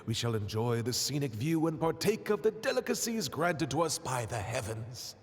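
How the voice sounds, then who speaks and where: A man's voice: mid-range voice, big pitch swings, normal speed, close to an everyday voice. A man voicing a playful father who grandly poses as a theatrical emperor in a video game, with pompous, florid delivery. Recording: long echoing hall reverb.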